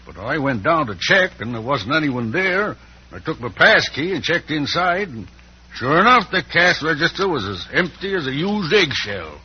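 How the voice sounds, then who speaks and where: Very husky, folksy Western sheriff. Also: male voice, on the high side, big pitch swings, moderate pace, exaggerated voice. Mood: chatty, puzzled. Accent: American Western. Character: chatty, folksy small-town Western sheriff. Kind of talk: old-time radio western acting. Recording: muffled old radio recording.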